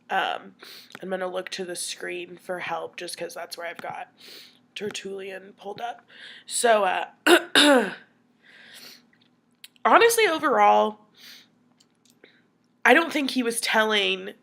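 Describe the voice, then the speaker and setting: A young woman with a sniffly cold records her class response, talking it through in a chatty way with lots of "like" and "um". Her voice is mid-pitched with a noticeable creak and fry. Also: fairly bright tone. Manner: chatty, filler-heavy, thinking aloud. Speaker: student.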